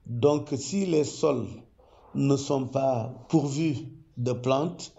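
A man who works as an ecology professor, explaining his research in a measured, careful way with frequent hesitations. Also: mid-range voice, creaky, warm tone, dull audio. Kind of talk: interview testimony.

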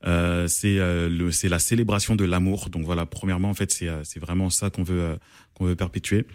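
Deep-voiced man, hesitant radio guest. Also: flat delivery, a little fast, a little mellow, no room sound. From France. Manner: hesitant, many fillers and restarts. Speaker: event organizer, guest. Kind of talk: radio interview. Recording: dry radio studio.

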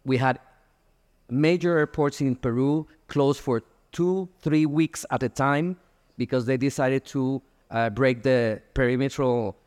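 A man speaking as a panelist at a conference, explaining figures in a measured way with frequent fillers. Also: medium pitch, steady tone, darkish tone, smooth. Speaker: airline association panelist. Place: conference hall. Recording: reverberant conference hall.

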